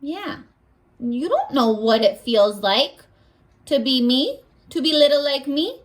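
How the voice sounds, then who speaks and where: Woman voicing a tiny, high-pitched pleading storybook ant. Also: sing-song, takes it slowly, full character voice. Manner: pleading, earnest.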